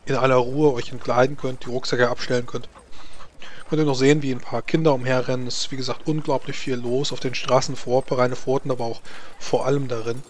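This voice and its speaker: Male game master, mid-pitched, halting deliberate narration, tabletop role-play, faint background noise.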